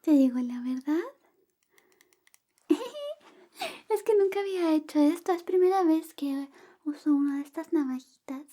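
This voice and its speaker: A woman whispering softly and gently in an ASMR roleplay, playing a friend who grooms you. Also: high voice, expressive, a little slow, pauses often. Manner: soft whispering, close and gentle.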